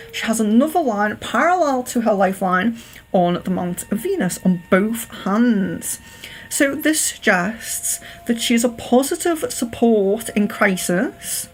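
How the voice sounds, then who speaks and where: An English woman from near Liverpool with a slight Liverpool accent, reading palms on a livestream in a careful, clear telephone voice with frequent ums and restarts. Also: mid-range voice, a little hiss or hum, rough recording. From England. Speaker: psychic livestream host.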